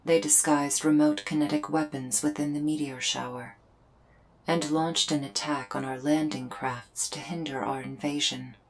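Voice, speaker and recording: Low-voiced, solemn alien woman, acted by a woman. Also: animated, a little hiss or hum. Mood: grave, measured. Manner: measured, formal, deliberate phrasing with pauses. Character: solemn female alien invader explaining herself to a human. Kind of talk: scripted ASMR audio roleplay. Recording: music behind the voice. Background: music.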